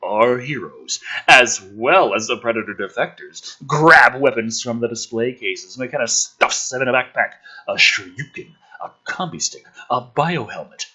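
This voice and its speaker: A man doing an animated read-through of a script, theatrical, with exasperated and mocking asides. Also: medium pitch, measured pace, hardly any pauses, breathy edge, poor audio. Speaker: host reading and mocking a film script aloud.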